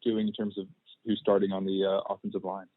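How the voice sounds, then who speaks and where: A man working as a sports reporter, asking questions haltingly at a press teleconference and rewording as he goes. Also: low voice, completely flat delivery, narrow, tinny audio. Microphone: teleconference phone line. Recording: thin phone conference line.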